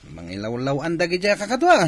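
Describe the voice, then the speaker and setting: A man playing an easygoing young village drinking buddy with an Ilocano accent, informal and animated, in radio drama style. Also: on the high side, a little fast. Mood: casual. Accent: Filipino, Ilocano.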